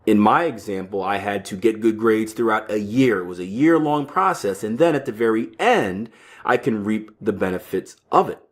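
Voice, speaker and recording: A man's voice: fairly low pitch, expressive, normal speed. A man in his early thirties hosting a podcast, talking casually with frequent "right?" tags and restarts. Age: 30s. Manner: conversational, keeps tagging lines with "right?"; stumbling restarts and fillers, offhand asides. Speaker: podcast host. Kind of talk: casual solo talk on trading psychology.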